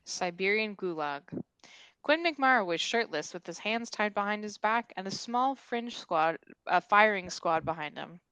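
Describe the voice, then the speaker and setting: A woman narrates the stage directions of a comedy script at a table read. Her voice sits at an average pitch, and the sound is dry. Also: animated, measured pace, fairly bright tone, clean tone, no room sound, poor audio.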